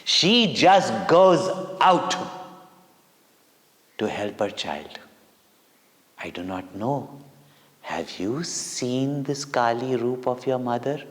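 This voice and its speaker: A man with an Indian accent telling devotional stories to children in a deliberate, theatrical way with dramatic pauses and emphasis. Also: mid-range voice, animated. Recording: long echoing hall reverb.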